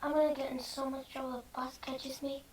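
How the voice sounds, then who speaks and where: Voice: sits high, level tone, brisk, a little hiss or hum, lightly acted. A performer playing an innocent, pleading little foster boy who longs for his mother, in a screenplay table read. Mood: timid, hopeful.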